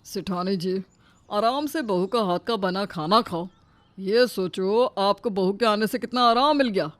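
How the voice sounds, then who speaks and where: A woman's voice: mid-range voice, measured pace, no room sound, close to an everyday voice. A woman voicing an easygoing, kindly father-in-law in a dramatised cartoon moral story. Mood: coaxing.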